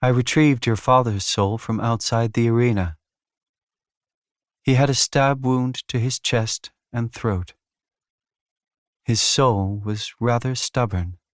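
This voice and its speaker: A man voicing Death as a calm, aloof woman in a fantasy audiobook, speaking plainly and with restraint. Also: mid-range voice, no room sound, studio quality, natural voice. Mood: detached, musing. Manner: plain, measured, subdued. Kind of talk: fantasy romance audiobook character.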